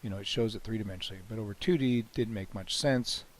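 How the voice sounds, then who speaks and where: A man with a cold, hoarse and often clearing his throat, casually talking through a software demo with many "you know"s and restarts. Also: on the low side, fast, a little hiss or hum. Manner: relaxed, rambling, frequent "you know" and restarts. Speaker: software developer demonstrating his plugin. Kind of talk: screen-recorded software walkthrough.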